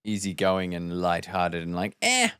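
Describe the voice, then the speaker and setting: A man with a lowish voice banters casually on a podcast. His pitch moves about a lot as he jokes and mimics. The recording is dry and close. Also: wildly swooping pitch, a little slow, fairly bright tone, clear, resonant tone, no room sound. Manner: joking, jumps into mimicry.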